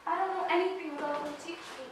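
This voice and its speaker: A woman's voice: very high voice, fairly even intonation, very noisy. A woman playing an anxious, earnest young American woman who pleads with raw emotion in a stage play. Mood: tender. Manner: pleading, emotional, rushed when upset. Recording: echoey room, voice from far off.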